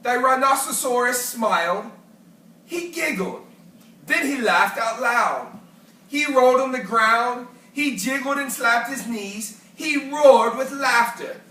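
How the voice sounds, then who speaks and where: Someone reading a kids storybook real slow and bright, theatrical, bit far from the mic. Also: medium pitch. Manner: animated, theatrical, deliberate phrasing. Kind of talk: expressive read-aloud of a children's picture book. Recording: echoey room, distant mic, faint music.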